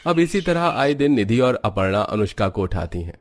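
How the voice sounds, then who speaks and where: A man's voice: fairly low pitch, sing-song, normal speed. A man narrating a cartoon family story in a scripted storybook style.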